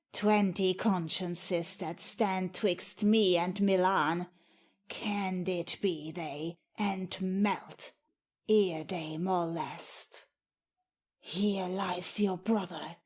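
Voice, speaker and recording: A woman playing a cold, ambitious, scheming nobleman in a measured dramatic reading of Shakespeare. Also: on the low side, darkish tone, breathy edge, heavily acted.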